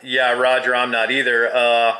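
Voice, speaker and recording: A man's voice: mid-range voice, completely flat delivery, measured pace, sharp tone. A man who is a hobby radio operator, chatting casually with radio jargon.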